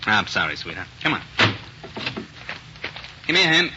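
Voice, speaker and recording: An actor playing a cocky, affectionate small-time crook, sweet-talking in slang in a 1940s radio crime drama. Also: male voice, on the low side, sing-song, moderate pace. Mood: subdued. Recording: dull, noisy 1940s radio recording.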